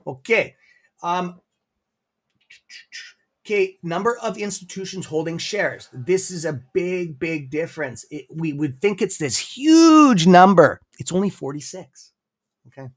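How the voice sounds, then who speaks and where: Rambling Canadian man, slightly high voice. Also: expressive, unhurried. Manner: rambling, filler-heavy, friendly. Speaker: guest presenter on a stock livestream. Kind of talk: casual livestream chat.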